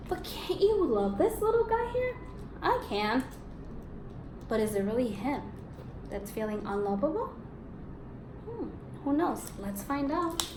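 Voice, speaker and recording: A woman teaching young children in a read-aloud lesson, encouraging and expressive, asking questions and confirming answers. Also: high voice. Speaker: elementary school teacher. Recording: echoey room with background noise.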